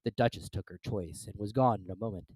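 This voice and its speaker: A storyteller giving a measured, expressive dramatic reading of a classic tale. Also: low voice, husky, no echo. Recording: dry close recording with soft music.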